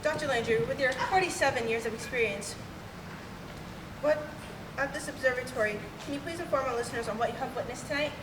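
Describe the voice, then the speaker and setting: Formal high-voiced radio newsman played by a young woman. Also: fairly quick, close to an everyday voice. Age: young adult. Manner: formal, stagey. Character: formal, earnest male radio news reporter. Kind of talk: stage parody of old radio news. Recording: roomy noisy stage, distant mic.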